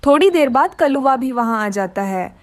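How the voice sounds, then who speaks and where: High-voiced woman narrating a cartoon story. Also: normal speed, no room sound. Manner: expressive storytelling.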